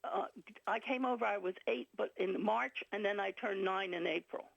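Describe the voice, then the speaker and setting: A woman's voice: mid-range voice, normal speed, gravelly, over a noisy crowd. An older woman from Ireland, a guest author chatting in a rambling, talkative way and laughing often on a radio show. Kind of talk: casual radio interview. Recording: dull lo-fi radio sound.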